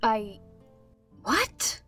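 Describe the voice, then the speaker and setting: A woman plays a tabletop game master in a radio drama. The voice is fairly high, telling the story and calling for rolls over faint music. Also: very animated, drawn-out words, sharp tone, heavily acted. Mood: storytelling. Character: game master narrating a comic fantasy tabletop game. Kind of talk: scripted radio drama narration.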